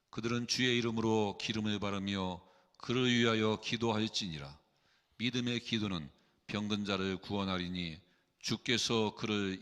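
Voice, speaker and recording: Bright-voiced man reading scripture in church. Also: on the low side. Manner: steady formal reading. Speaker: scripture reader. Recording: long church reverb.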